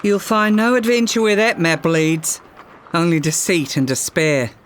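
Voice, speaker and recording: A man's voice: a little high, unhurried, fairly bright tone, slight background noise. A man voicing a proud, self-assured swashbuckling woman captain in a storybook. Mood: wary. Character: confident, wary swashbuckling woman sea captain.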